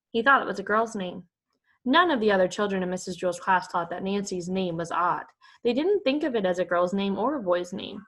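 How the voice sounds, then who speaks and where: A woman reading a children's chapter book aloud to young pupils, like a primary school teacher. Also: medium pitch. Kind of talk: expressive children's story read-aloud.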